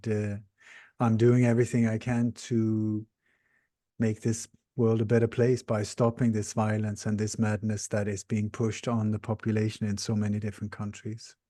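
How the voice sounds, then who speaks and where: A man in his sixties, born in Denmark and raised in Sweden, speaking English in a podcast interview, explaining things earnestly and steadily with frequent pauses. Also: lowish voice, warm tone, no echo, studio quality. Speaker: interview guest, researcher.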